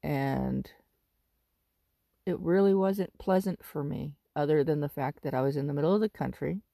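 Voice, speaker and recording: A woman in her fifties from Northern California, raspy from smoke, talking about her life in a loose, rambling monologue full of ums and restarts. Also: low voice, moderate pace, mellow, no room sound, clean studio sound. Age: 50s. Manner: rambling, with frequent ums and self-corrections. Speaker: podcast host. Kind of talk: casual personal monologue.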